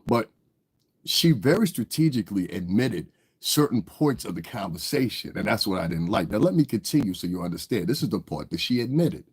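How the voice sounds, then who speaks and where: Deep husky man, congested with a cold. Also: big pitch swings, fairly quick, darkish tone. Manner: rambling, talks straight to viewers, often asks "you understand". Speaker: livestream commentator. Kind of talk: casual livestream commentary.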